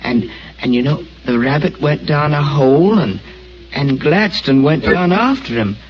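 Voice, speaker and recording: An actor playing a gentle, simple-minded young man who stammers with childlike wonder, in a 1940s radio play. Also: on the high side, expressive, a little slow, a crowd in the background. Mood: dreamy. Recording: muffled old radio broadcast.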